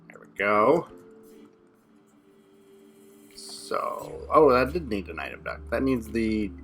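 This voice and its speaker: A man's voice: fairly low pitch, very animated, gravelly, a bit bright, soft background music. A young man giving casual commentary as he plays a building game with a friend, talking himself through each step. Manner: rapid thinking aloud while building. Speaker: co-host playing a modded game.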